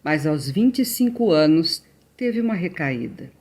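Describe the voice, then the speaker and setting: A Brazilian woman narrating a documentary calmly and asking short interview questions. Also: sits low, a little slow.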